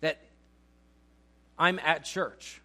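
A man's voice: fairly high pitch, fairly even intonation, very slow, pushed and bright. A man in his forties preaching a sermon in a church auditorium, talking in a conversational, joking way and quizzing the congregation. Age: 40s. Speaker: pastor.

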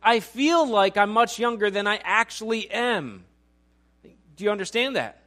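A man's voice: high voice, lively intonation, measured pace, sharp tone. A man in his forties preaching a sermon in a church auditorium, talking in a conversational, joking way and quizzing the congregation. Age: 40s. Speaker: pastor.

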